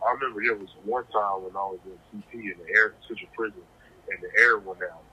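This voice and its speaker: A man's voice: lowish voice, fairly even intonation, fast talker, bright, forceful tone. A man talking casually over a noisy prison phone line, reflective and plain-spoken, telling anecdotes as an interviewee. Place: correctional facility phone. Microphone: telephone handset.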